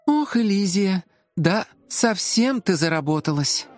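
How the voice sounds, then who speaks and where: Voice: medium pitch, expressive, measured pace, dry recording, faint music behind, voice pushed up, lightly acted. A performer voicing a jumpy, dramatic young woman lab assistant in a children's audio story. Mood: self-soothing.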